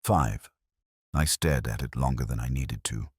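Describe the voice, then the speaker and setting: A man playing a brooding, world-weary police detective, narrating a case in solemn, literary first person with a husky, measured delivery. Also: a bass voice, no echo, clean studio sound, exaggerated voice. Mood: melancholy, resigned.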